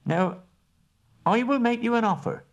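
A man doing a fussy, high-voiced hobbit. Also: creaky, muffled recording, exaggerated voice. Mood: businesslike. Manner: brisk businesslike, genteel. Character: fussy polite male hobbit, small and shrewd.

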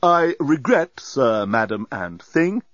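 A snobbish, officious robot servant from a comic storybook, acted out by a man. He speaks with cold, stiff formality and sniffy disdain. The pitch swoops about and the recording is dry. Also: very animated, slow, a bit bright, dry recording, muffled recording. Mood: haughty, sniffy.

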